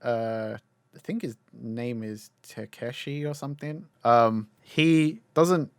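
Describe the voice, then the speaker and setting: A man's voice: medium pitch, slow pace, smooth, dry recording, studio quality. A young man whose native language is Cantonese, chatting casually as a podcast co-host, rambling with swearing and laughs. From Cantonese-speaking Chinese family. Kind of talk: casual chat.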